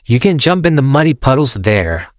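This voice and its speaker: A man voicing a wise, calm mother pig in a storybook, speaking in a gently instructive way. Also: mid-range voice, moderate pace, dry recording, lightly acted.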